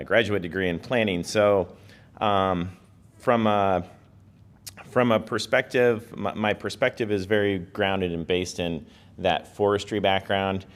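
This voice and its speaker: Male presenter, hoarse today, very low, smooth-toned, casual and unassuming at the lectern. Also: steady tone, measured pace. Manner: conversational, plain-spoken, self-effacing. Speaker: guest speaker and educator. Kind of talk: informal presentation to an audience.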